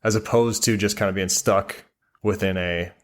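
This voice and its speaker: A man with a deep, low-pitched voice speaks as an interview guest. He explains his job informally, in short conversational phrases that he softens as he goes. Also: steady tone, normal speed. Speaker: interview guest, military air traffic controller. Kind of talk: casual explanatory interview chat.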